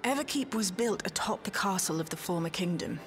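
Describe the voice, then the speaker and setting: A woman's voice: mid-range voice, expressive, brisk, lightly acted. Game role: actress as clever female analyst, confident, factual delivery, mid register, faint music behind. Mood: matter-of-fact. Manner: matter-of-fact, articulate, self-assured. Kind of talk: dramatic video game voice acting.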